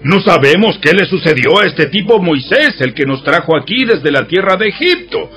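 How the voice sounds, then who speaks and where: A man's voice: high-pitched, sing-song, moderate pace, fairly bright tone, soft background music. An actor playing Aaron as a defensive, excuse-making man in a dramatized audio Bible. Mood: defensive, placating.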